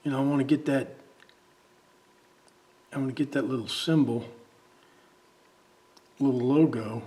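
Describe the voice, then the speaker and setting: A man talking casually and haltingly, thinking aloud as he demonstrates a drawing. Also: mid-range voice, dark tone. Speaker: amateur artist demonstrating a drawing. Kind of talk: casual talk while drawing.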